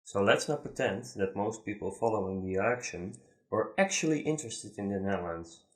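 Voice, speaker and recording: A man's voice: lowish voice, lively intonation, brisk. A man commenting on a video clip, rebutting it in an earnest, argumentative way.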